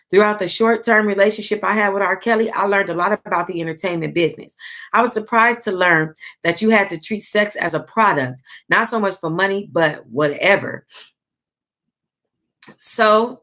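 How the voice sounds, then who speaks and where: A woman reading a book aloud in an informal, expressive way, like a host sharing it with her book club. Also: mid-range voice. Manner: expressive, informal, breaks into amused asides. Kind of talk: reading aloud with casual reactions.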